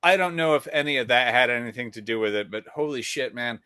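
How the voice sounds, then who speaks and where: Podcast guy with a bright edgy voice, acts out the lines in his story, dry sound. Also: mid-range voice, quick pace, smooth, no echo. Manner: animated storyteller, acts out quoted dialogue. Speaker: podcast co-host. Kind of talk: casual chat.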